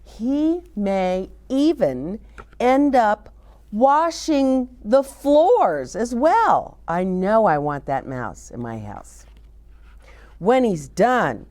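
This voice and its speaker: A woman's voice: medium pitch, big pitch swings, drawn-out words, a little mellow. A woman hosting children's storytime, reading a picture book aloud expressively with chatty asides to young listeners.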